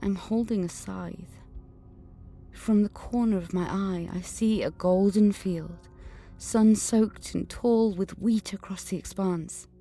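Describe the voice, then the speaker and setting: An angry teenage schoolgirl in an audio drama. She is earnest and intense, moving between first-person narration and dialogue in a medium-pitched voice, with music underneath. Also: fairly even intonation, slow pace, dark tone. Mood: haunted, measured. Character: Katrina, angry suspicious teenage schoolgirl recounting memories and visions.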